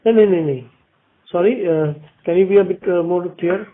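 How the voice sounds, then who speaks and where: A man with an Indian accent answering questions on an earnings call as a company executive, explaining in a halting way. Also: sits high. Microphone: phone line. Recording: tinny narrowband phone conference line.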